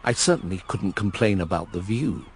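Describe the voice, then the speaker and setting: Low-voiced, wry English narrator. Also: male voice, animated, moderate pace, slight background noise. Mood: wry, reflective. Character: wry, reflective crippled man narrating his story. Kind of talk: first-person radio drama narration.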